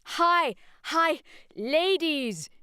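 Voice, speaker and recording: A woman voicing a jovial middle-aged male country clergyman who calls out loudly. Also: high voice, animated, very slow, no room sound, clean studio sound, voice pushed up, full character voice. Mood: alarmed. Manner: calling out loudly in alarm.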